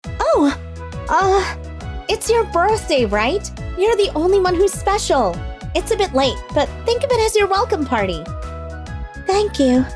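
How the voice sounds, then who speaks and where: A woman playing a youthful, expressive schoolgirl in scripted animated dialogue. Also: very high voice, measured pace, full character voice. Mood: hesitant. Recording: music behind the voice.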